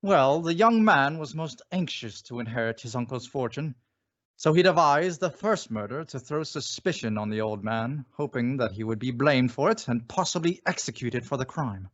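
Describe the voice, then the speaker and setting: A man's voice: mid-range voice, lively intonation, normal speed, a bit bright, muffled recording, lightly acted. A man playing a resolute crime-fighting avenger in old-time radio drama style, with a dramatic, authoritative delivery. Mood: explanatory. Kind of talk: 1940s radio drama acting.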